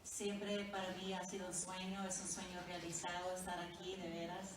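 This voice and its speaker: Woman therapist, hesitant speaker, picked up by a distant mic in an echoey hall with steady noise. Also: fairly low pitch, normal speed. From Honduras, raised in the United States. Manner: hesitant, searching for words with fillers. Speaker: family therapist and researcher. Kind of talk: book presentation remarks.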